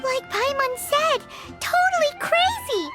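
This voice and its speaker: A woman's voice: animated, slow, bright, forceful tone, faint music behind, full character voice. A woman voicing a tiny, cheeky fairy-like girl companion who chatters bouncily and talks about herself in the third person, in a video game. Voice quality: high squeaky childlike voice.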